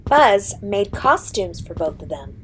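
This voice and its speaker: A woman with a medium-pitched voice narrates a children's storybook at a slow, measured pace. There is faint noise and sound effects behind her. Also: very animated, fairly bright tone.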